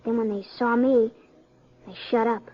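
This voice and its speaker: Casting: woman as high-pitched American farm boy of eleven, earnest and wary, muffled old radio western. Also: fairly even intonation, moderate pace, close to an everyday voice. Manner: plain-spoken, earnest country talk. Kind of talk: 1950s western radio drama acting.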